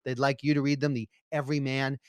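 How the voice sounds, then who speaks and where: Deadpan male comedy podcast co-host, very dry sound. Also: medium pitch, fast, no echo. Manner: deadpan, mock-earnest.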